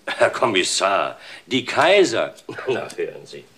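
A man's voice: sits low, very animated, slow, fairly bright tone, slightly rough, exaggerated voice. An actor playing a self-important male boss who blusters evasively under police questioning in a German crime radio play. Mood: dismissive.

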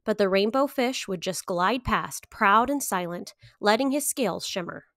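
A woman in her thirties reading to children as a story time host, with expressive, clearly phrased delivery. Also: mid-range voice, normal speed, no room sound, studio quality. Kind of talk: children's picture book read-aloud.

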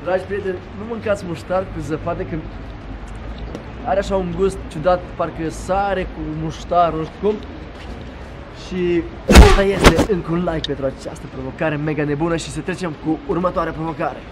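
A young man with a Romanian accent, talking excitedly to his viewers during a challenge vlog. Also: high-pitched. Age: young adult. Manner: loud, excitable, addresses viewers directly. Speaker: vlogger. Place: outdoors at night in a snowy street, then inside a shopping mall. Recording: noisy street background.

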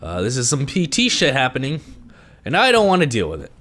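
Young guy on a headset, allergies making him congested, jumpy lively voice as he talks to the game. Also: medium pitch, fairly quick. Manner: nervous, talks to himself and the game. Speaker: gaming video creator. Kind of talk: horror game commentary.

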